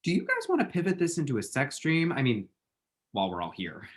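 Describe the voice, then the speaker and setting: A man performs a lovestruck, self-deprecating young man in a romantic comedy script read. The voice is mid-pitched, with a wry, hesitant delivery. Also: huge pitch swings, a little fast. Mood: exasperated. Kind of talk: screenplay table read.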